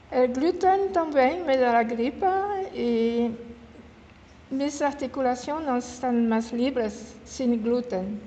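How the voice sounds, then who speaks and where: Older swiss lady w/ french accent, talks real slow, keeps stopping to find words, kinda high voice, echoey hall. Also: warm tone. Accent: French-speaking Swiss. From Switzerland. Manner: halting, searches for words, corrects herself mid-word. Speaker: guest speaker. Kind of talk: informal public talk. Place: meeting hall in an old house. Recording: reverberant hall with faint room noise.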